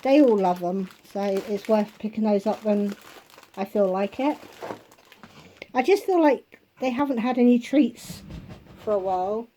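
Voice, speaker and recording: Slow-talking hoarse woman vlogger. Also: medium pitch, warm tone, slight background noise, low-quality recording. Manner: chatty, unhurried, itemizing prices. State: hoarse, losing her voice. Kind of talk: casual shopping haul chat. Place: home.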